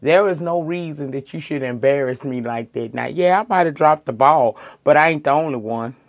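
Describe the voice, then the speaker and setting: A middle-aged woman, hoarse tonight, chatting casually and sassily to viewers as she reviews a reality show. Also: sits low, normal speed, dull audio. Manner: chatty, sassy, addresses viewers as girl and y'all.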